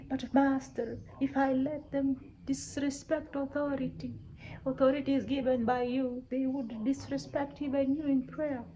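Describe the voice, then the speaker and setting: A woman's voice: high voice, little pitch movement, normal speed, dark tone, voice pushed up, lightly acted, low-quality recording. A woman storyteller voicing a pompous, blustering tyrant king who commands and justifies himself. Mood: pleading, self-justifying. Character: pompous, outraged tyrant king with a big belly.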